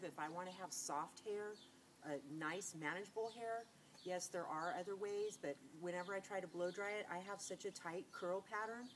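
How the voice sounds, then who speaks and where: Nasal-sounding woman with a cold, outdoors. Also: fairly low pitch. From California. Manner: chatty, rambling with many fillers and restarts. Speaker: beauty vlogger. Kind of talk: casual talk to camera. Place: outdoors against a sunlit wall. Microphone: camera on a tripod. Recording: noisy outdoor camera audio.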